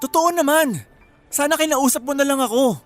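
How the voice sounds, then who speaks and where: A man's voice: very high-pitched, fairly quick. An actor, a man, playing a flustered young cheating boyfriend who pleads and fumbles excuses in a radio drama. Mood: defensive. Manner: whining, stammering excuses. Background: faint background music.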